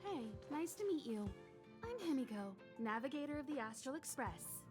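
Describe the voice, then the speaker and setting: A woman playing a composed, motherly navigator of a space train in a video game, unhurried and gently teasing. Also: high voice, sing-song. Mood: friendly, teasing. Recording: music behind the voice.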